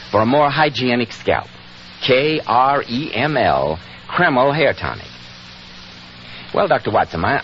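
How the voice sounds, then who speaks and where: Low, animated American radio announcer man. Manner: polished, emphatic salesmanship. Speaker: announcer and sponsor pitchman. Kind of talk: 1940s radio advertising announcement. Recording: muffled 1940s radio recording, faint noise.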